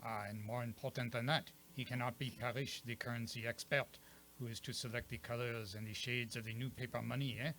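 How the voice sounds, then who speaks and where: A man's voice: medium pitch, steady tone, a little fast, fairly bright tone, a little hiss or hum, lightly acted. Radio mystery detective, male actor: French accent, courtly, self-assured, precise and theatrical, mid-range voice. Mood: genial, explaining. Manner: precise, theatrical, savoring his explanations. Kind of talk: radio mystery play acting.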